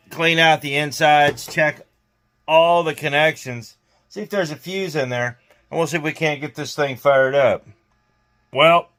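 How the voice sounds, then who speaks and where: A man's voice: a little high, fairly bright tone. Folksy man chatting through a repair video. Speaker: host of a CB radio repair video. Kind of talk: casual hands-on video chat.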